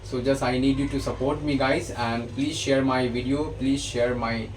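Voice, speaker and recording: Stammering Indian English teacher, far off. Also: male voice, medium pitch, steady tone, moderate pace. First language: Hindi. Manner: stammers and restarts often. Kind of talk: bilingual grammar lesson. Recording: distant mic with noticeable background noise.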